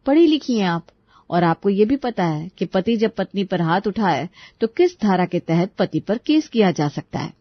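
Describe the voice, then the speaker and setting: A woman's voice: mid-range voice, big pitch swings, moderate pace, no echo, highs cut off. A woman playing an outspoken, sensible young sister-in-law who gives firm advice in a Hindi moral story. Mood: firm, advising. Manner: firm, advising.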